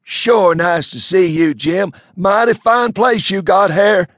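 A man's voice: high voice, slow pace, a bit bright, dry recording, clean studio sound, voice pushed up, heavily acted. A man voicing a bumbling rural cousin in an audiobook, with a folksy, ungrammatical country drawl. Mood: hearty, folksy. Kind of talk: audiobook character voice.

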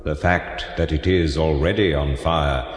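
An actor playing a solemn French Renaissance prophet and physician in an audio drama, declaiming gravely in formal, archaic phrases. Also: male voice, very deep voice, steady tone, a little slow. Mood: ominous prophecy. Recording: long cavernous reverb on voice.

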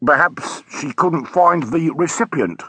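A man's voice: fairly high pitch, sing-song, normal speed, pushed and bright, husky, no echo, pitched above the performer's own voice, exaggerated voice. A man voicing a polite, placid police constable in an audiobook, mild and slightly formal, soothing in manner. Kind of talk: audiobook character voice.

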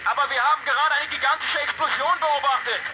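Through a very noisy, crackling phone line comes a harried field agent. His voice is very high and frustrated, in radio play style. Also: fairly even intonation, quick pace. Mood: urgent. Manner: loud, cursing, harried. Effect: bad phone or radio line. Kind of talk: German radio play acting.

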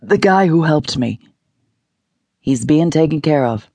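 A man's voice: fairly high pitch, expressive, a little slow, a little mellow, no echo. An audiobook narrator voicing a shaken, stubborn male rescuer who speaks curtly and insistently. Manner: curt, insistent.

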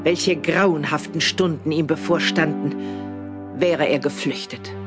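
A woman narrates a scripted radio play in a very low voice. Prominent music plays behind her storytelling. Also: a little slow. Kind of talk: scripted audio drama narration.

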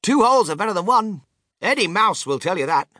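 A man voicing an exuberant eccentric gentleman inventor in a storybook, theatrical, emphatic and breezily unbothered. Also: high voice, very animated, a little fast, no echo, studio quality, pitched above the performer's own voice, exaggerated voice. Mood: blithely matter-of-fact. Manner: theatrical, emphatic, courteously dismissive.

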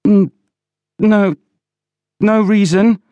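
A scared young servant boy, played by a male audiobook narrator. He stammers and halts fearfully, the pitch lifted far above the reader's natural voice, with a dry, close sound. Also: fairly even intonation, drawn-out words, no echo, muffled recording. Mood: terrified, stammering. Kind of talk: dramatised audiobook character voice.

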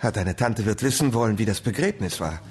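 An actor playing a coarse, awkward young farm heir in a German radio drama. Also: male voice, lowish voice, animated, fairly quick, a little mellow, husky. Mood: weary, businesslike.

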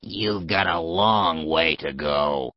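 A man voicing a grumpy, sarcastic talking toad who mutters in a deadpan way in a children's audiobook. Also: sits low, takes it slowly, dry recording. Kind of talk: children's full-cast audiobook acting. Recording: slightly dull, lo-fi audio.

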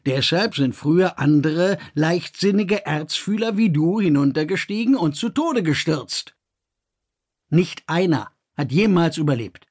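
Ein besorgter, vorsichtiger älterer Gefährte, von einem männlichen Sprecher gesprochen, der langsam und mahnend redet. Die Stimme ist leicht rau und zögerlich. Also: male voice, on the high side, expressive, darkish tone, husky, voice pushed up. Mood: warning. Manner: slow, hesitant, admonishing. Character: older, cautious man, worried and weaker.

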